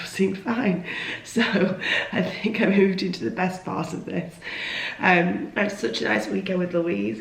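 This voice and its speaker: Hoarse woman vlogging. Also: on the low side, roomy sound. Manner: chatty, rambling, self-deprecating. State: lost voice after a cold, hoarse. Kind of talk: casual chat to camera. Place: at home, indoors.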